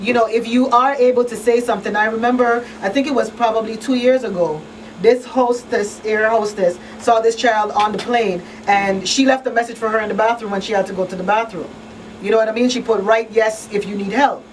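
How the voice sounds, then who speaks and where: A woman's voice: on the high side, fairly even intonation, a little fast, a crowd in the background. A woman speaking conversationally as a talk show panelist, making her points emphatically with rhetorical questions. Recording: distant mic, faint background noise.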